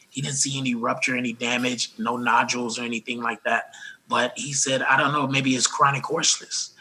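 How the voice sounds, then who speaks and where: A man with a noticeably raspy, husky voice that still cuts through bright and forward. He talks earnestly and gratefully as an interview guest, restarting phrases as he goes. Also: mid-range voice, low-quality recording. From Los Angeles. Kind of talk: casual interview talk.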